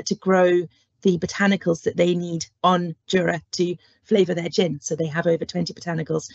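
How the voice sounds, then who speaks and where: A woman's voice: on the low side, vocal fry, no echo. A woman horticulture lecturer giving an online careers talk, speaking through a cold and cough with many ums. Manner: frequent ums, friendly explanatory. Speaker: horticulture lecturer and curriculum lead. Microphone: webcam or headset on video call. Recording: dull lo-fi video call audio.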